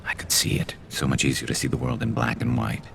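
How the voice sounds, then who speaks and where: A man playing a loyal, battle-weary male alien soldier in a video game, with a dry, wry, measured delivery. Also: rumbling low, level tone, gravelly, a little hiss or hum, heavily acted. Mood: brooding, conflicted.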